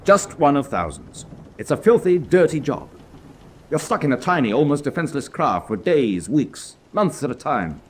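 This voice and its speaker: An actor playing a proud, hard-bitten German U-boat officer in a radio drama, with clipped, emphatic delivery. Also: male voice, fairly high pitch, sing-song, normal speed. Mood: bitter, impassioned. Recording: clearly noisy background ambience.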